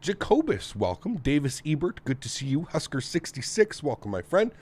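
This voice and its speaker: A man's voice: on the high side, very animated, normal speed, a little mellow, husky. A man from North America, sounding nasal and congested, hosting a casual live stream and greeting viewers one by one in an upbeat, friendly way. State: congested throat from the weather. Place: home flight sim setup.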